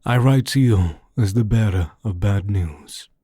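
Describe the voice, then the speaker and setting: An actor playing a grave, formal Victorian doctor voicing his letter in a gothic audio drama, in a measured manner. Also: fairly low pitch, mellow, slightly rough, dry recording, studio quality. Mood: solemn. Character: Van Helsing, grave formal doctor from Amsterdam writing a letter.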